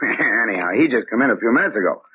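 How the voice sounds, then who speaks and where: An actor playing a glib, falsely genial American gangster, backslapping and slyly insinuating, in a 1940s radio crime drama. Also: male voice, mid-range voice, expressive, quick pace, slight background noise, natural voice. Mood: jovial. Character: glib, falsely genial male gangster hosting a card game. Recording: dull, muffled 1940s radio recording.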